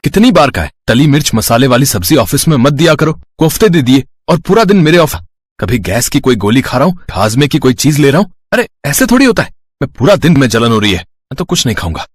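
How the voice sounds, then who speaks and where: An irritated Indian husband played by a man in a moral story drama. He complains in an exasperated way with his voice pushed up in pitch, recorded with almost no room sound. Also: very animated, a little fast, slightly rough, dry recording.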